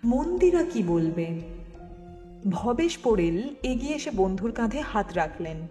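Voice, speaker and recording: A woman's voice: a little high, very animated, measured pace, mellow, faint music behind. A woman reading a scripted story as a dramatic audiobook narrator.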